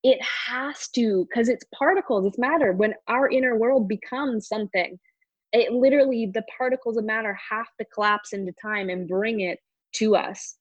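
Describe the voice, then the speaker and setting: A woman's voice: on the high side, normal speed, no room sound, lo-fi sound. A woman speaking as a coach in a podcast interview, motivational and emphatic, repeating words for stress. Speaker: coach and course teacher.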